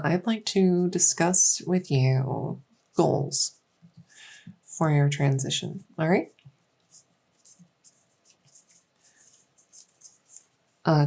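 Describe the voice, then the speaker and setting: A woman playing a small, encouraging insect-folk clinician in an ASMR medical roleplay, soft-spoken, hesitant and matter-of-fact. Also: deep voice, creaky, exaggerated voice. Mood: calm, explanatory.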